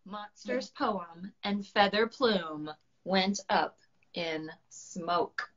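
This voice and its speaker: Woman doing kids storytime, expressive and bright, takes her time, kinda lo-fi audio. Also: mid-range voice, extremely slow. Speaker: children's book reader, writing teacher. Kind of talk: animated storybook read-aloud and friendly chat.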